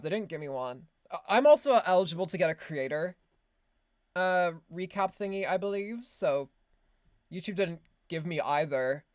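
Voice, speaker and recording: An Irish woman, a voice actor, chatting casually on a stream with quick self-mocking asides and laughs. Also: low voice, no room sound, studio quality. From Ireland. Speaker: voice actor and streamer reacting to a game video. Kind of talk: casual chat.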